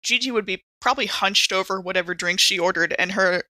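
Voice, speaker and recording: Bright-voiced woman at a game table. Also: medium pitch, a little fast, dry recording, low-quality recording. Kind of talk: casual, joking table talk.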